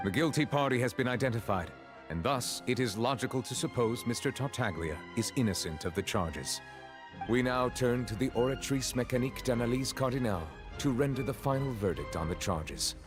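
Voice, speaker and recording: A man playing a stern, composed chief judge in a video game, formal, grave and measured. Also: mid-range voice, expressive, over music, natural voice.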